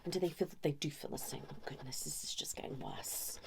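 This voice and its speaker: A woman speaking softly and often whispering in an ASMR role-play as a chatty couples therapist, gently scolding. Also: sits low, fast talker. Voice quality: soft, breathy, often whispered. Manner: chatty, mock-concerned, gently scolding, hushed. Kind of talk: ASMR role-play, one-sided improvised conversation.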